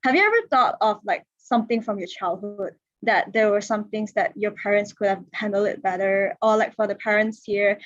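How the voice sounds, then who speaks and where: A woman's voice: medium pitch, normal speed, no room sound, highs cut off. A woman of twenty-one hosting an online panel, chatty, with many fillers and restarts. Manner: chatty, heavy use of "like" and restarts. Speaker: panel moderator. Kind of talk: casual online workshop hosting. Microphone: video call.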